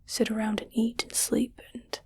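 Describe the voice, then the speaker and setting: A woman playing a scheming, patronizing rich alien in an ASMR roleplay, speaking in a breathy, coaxing whisper. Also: a little high, level tone. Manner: slow, patronizing, softly coaxing. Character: condescending, scheming rich female alien keeping a human pet.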